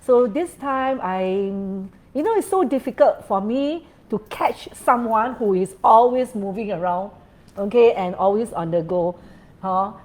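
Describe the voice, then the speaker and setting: A woman's voice: medium pitch, unhurried, a little mellow, poor audio. A woman hosting a casual interview as a feng shui consultant, laughing often and switching between English and Mandarin. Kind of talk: casual chat.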